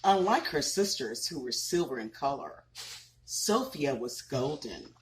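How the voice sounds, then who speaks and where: A woman in her thirties reading a children's picture book aloud, pausing to ask the listeners questions. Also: extremely low pitch, a little hiss or hum, rough recording. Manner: expressive, asks listeners questions.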